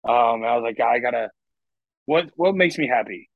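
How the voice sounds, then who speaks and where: A man's voice: mid-range voice, expressive, normal speed, no echo. Young man, dull dry lo-fi recording. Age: 20s. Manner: candid, rambling, heavy use of "like". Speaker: podcast guest. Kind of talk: casual podcast conversation.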